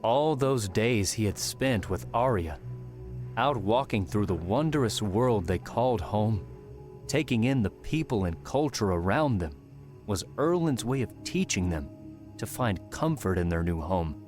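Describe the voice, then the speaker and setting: A male audiobook narrator reads scripted fiction in a fairly low voice. Music plays clearly behind the narration. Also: lively intonation, unhurried, darkish tone.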